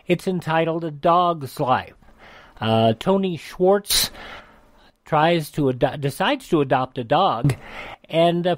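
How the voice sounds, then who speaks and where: A man's voice: fairly high pitch, no echo. A man hosting a radio show, announcing programmes informally in hesitant, piecemeal phrases.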